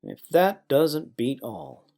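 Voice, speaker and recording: A man acting as a blustery police chief in a noir mystery play, his pitch jumping around widely. He is emphatic, easily baffled and quick to sound incredulous. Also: wildly swooping pitch, creaky, darkish tone, heavily acted. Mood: impatient, puzzled. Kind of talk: audio drama acting.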